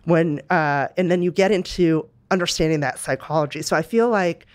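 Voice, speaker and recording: A woman's voice: lowish voice, normal speed, dry recording. A middle-aged woman from Romania, answering interview questions in a reflective way with many fillers and restarts. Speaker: guest, music company executive.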